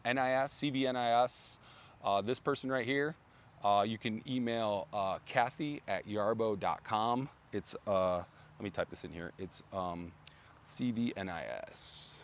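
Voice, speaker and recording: Man hosting a rambly, hoarse livestream. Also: on the low side, unhurried, a little hiss or hum. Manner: rambling, lots of fillers and restarts. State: losing his voice. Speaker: brand livestream host. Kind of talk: casual livestream chat. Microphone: phone.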